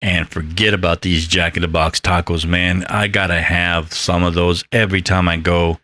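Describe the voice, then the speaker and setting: A man's voice: sits low, little pitch movement, moderate pace, bright, forceful tone, no echo. A man in his fifties hosting a podcast, rambling in a casual, profane and emphatic way. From Mexican heritage. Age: 50s. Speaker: podcast host. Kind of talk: casual solo podcast talk.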